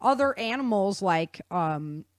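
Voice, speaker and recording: A woman explaining things in an animated, conversational way with casual slang. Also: mid-range voice, unhurried, no room sound, studio quality. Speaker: guest author explaining research.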